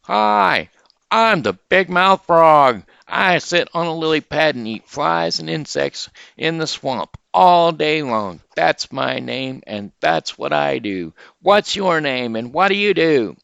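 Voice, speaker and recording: A man voicing a chatty frog with a big mouth, greeting animals in a high, loud, boastful voice. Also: lively intonation, slow pace, creaky, clean tone, dry recording, lo-fi sound, full character voice. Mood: boastful cheery.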